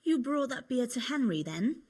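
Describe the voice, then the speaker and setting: Husky, chatty young medieval tavern girl. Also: high-pitched, sing-song, normal speed, darkish tone. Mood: friendly. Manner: chatty, coaxing. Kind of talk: video game cutscene acting.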